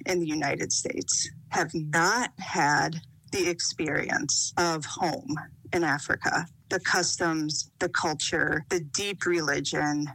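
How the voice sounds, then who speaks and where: Bright-voiced woman health official. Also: low voice, fairly even intonation, slow, breathy edge, rough recording. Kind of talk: interview.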